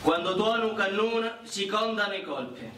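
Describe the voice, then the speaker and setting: A griping ww1 soldier, theatrical, high voice, roomy echo. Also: male voice, lively intonation, normal speed. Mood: wry, matter-of-fact. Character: indignant First World War infantryman griping about trench life. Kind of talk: stage-style radio drama dialogue. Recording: roomy, distant mic, noisy background.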